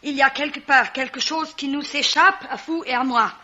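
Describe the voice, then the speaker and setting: A sharp-tongued, scheming woman has a high, bright voice. It comes through the muffled sound of an old radio play. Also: moderate pace. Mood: arch. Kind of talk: vintage French radio mystery acting. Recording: dull vintage radio sound.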